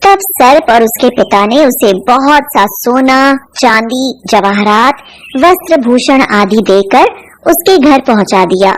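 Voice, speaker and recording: A woman's voice: fairly high pitch, animated, a little slow, slight background noise, poor audio. A woman narrating a scripted cartoon folk tale as a storyteller.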